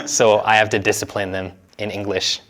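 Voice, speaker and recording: A young man from the United States chatting casually in an interview, relaxed and often laughing as he talks. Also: on the low side. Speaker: interviewee, university student.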